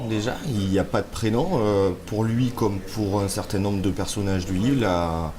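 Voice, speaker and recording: A man with a fairly low voice talks about his work, weighing every phrase and filling the gaps with soft hesitations. He sounds slightly stuffed up from a cold. A steady crowd hubbub runs behind him. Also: normal speed. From France. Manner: thoughtful, hesitant, many fillers. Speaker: author guest. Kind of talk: relaxed interview conversation.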